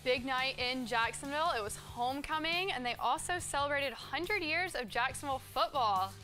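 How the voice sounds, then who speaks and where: A woman's voice: high-pitched, lively intonation, moderate pace, pushed and bright, faint music behind. A woman co-hosting a sports show, reading football scores from a script.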